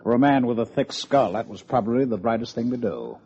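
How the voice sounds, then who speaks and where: An American actor playing a dry, needling police lieutenant with a sardonic manner in an old-time radio detective drama. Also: male voice, medium pitch, fairly quick, mellow, husky. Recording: muffled old radio recording.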